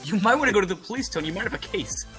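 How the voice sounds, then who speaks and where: A man's voice: sits high, expressive, racing, music in the background. A teenage boy, a podcast co-host, who keeps interrupting with teasing jabs. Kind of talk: casual chat, heckling.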